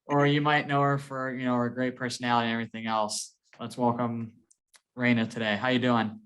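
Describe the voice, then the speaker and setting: A man hosts a laid-back interview and keeps things friendly. He talks at an ordinary middle pitch and leans on fillers like "you know" and "um". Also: little pitch movement, brisk, fairly bright tone. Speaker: host. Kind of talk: casual interview hosting.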